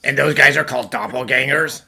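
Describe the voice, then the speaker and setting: A woman voicing a blunt, sardonic talking dog with a gravelly voice in a cartoon dub. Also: extremely low pitch, a little fast, sharp tone, heavily acted. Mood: dry, questioning. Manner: blunt, dry. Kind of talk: animated series fan dub.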